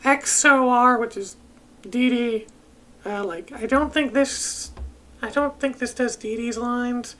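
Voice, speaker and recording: A woman's voice: on the high side, slow, fairly bright tone. A woman giving casual let's play commentary as a video game commentator.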